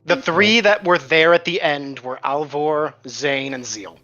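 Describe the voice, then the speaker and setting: A man's voice: fairly high pitch, a little slow. A man chatting casually in a group as a tabletop role-playing player. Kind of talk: casual group game chat. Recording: dull lo-fi sound, music behind. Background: background music.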